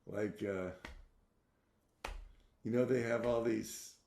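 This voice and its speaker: A man guiding a client through a therapy session over a video call, with gentle, unhurried prompts and many affirming murmurs. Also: fairly low pitch, steady tone, gravelly, echoey room, slight background noise.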